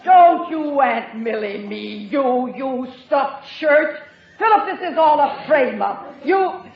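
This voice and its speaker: An actress playing a pompous, indignant old great-aunt in a 1950s radio sitcom, bossy and blustering. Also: female voice, sits high, animated, slow, gravelly, exaggerated voice. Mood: outraged. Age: older. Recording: dull, roomy old radio recording, audience.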